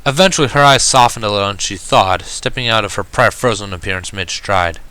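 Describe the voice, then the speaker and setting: A man narrating a first-person story in an expressive, reflective and dramatic way. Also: lowish voice, fairly quick, clean tone, no room sound, rough recording. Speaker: reader of fan fiction. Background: background music.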